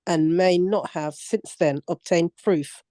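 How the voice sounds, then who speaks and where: An older woman reading a policy document aloud on a video call, careful and measured, with some hesitations. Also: fairly low pitch, warm tone, no echo, studio quality. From Commonwealth country. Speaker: book club reader.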